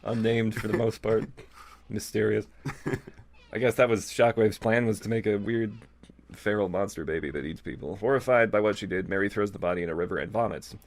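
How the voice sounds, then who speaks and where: A man's voice: lowish voice, brisk, a little hiss or hum. A man reading fan fiction aloud as a co-host, with casual, amused, irreverent asides.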